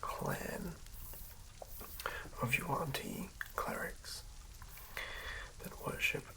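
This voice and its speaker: A woman whispering in a breathy, unhurried ASMR style, muttering and repeating words as the host rambles through making a roleplaying character. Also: bright, forceful tone, rough recording.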